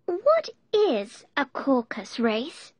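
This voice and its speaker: A man's voice: very high-pitched, wildly swooping pitch, extremely slow, dry recording, pitched above the performer's own voice, exaggerated voice. A man voicing a melancholy, puzzled little girl in a plaintive manner.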